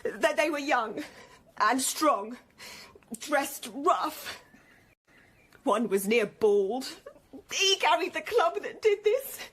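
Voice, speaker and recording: A woman playing a grieving, frightened poor Tudor mother, tearful and halting, in a radio drama. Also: high voice, lively intonation, a bit bright, full character voice. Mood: distraught. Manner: halting, stammering. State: distraught, tearful. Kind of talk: BBC radio drama acting.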